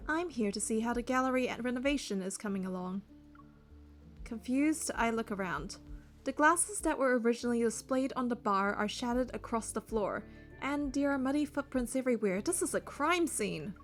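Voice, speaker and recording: A young adult woman reading game narration and dialogue aloud in an expressive storytelling style. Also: on the high side, moderate pace, faint music behind.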